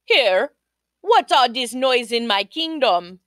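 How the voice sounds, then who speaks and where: A boastful young king from a folk tale, as a woman storyteller puts him on, barking orders in a loud, proclaiming way. He draws his words out slowly with a big, swinging lilt. The sound is dry and close. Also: fairly high pitch, big pitch swings, no echo, voice pushed up, full character voice. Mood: pompous.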